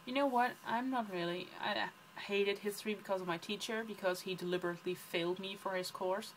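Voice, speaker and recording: A woman's voice: medium pitch, steady tone, fairly quick, a little hiss or hum, poor audio. A young woman from the Netherlands with a Dutch accent, chatting casually through a book vlog tag with lots of ums and rambling asides. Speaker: book vlogger. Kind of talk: casual chat answering tag questions.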